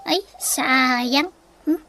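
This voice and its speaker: A woman's voice: sits high, very slow, vocal fry, pushed and bright, heavily acted. An actress playing a brash, vain housewife and mother who is always borrowing, in a sassy radio comedy-drama style. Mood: scolding.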